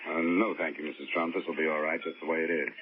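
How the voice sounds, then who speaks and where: An American actor playing a dry, world-weary insurance investigator in a 1940s radio drama. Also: male voice, very deep voice, level tone, quick pace, noisy background. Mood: polite, dry. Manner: dry, sardonic. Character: wry, world-weary freelance insurance investigator, adult man. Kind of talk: 1940s radio detective acting with first-person narration. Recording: dull vintage radio recording with music.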